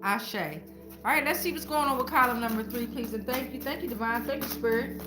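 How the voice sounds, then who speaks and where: A woman's voice: fairly high pitch, fairly quick, loud music behind the voice. A woman host giving an informal spiritual tarot reading to viewers, speaking colloquially and repeating phrases like incantations. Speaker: tarot reader and channel host.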